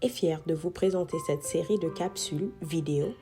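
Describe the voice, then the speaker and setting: A woman reading a formal, measured scripted introduction. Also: low-pitched, mellow, faint music behind. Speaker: narrator. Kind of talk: scripted narration.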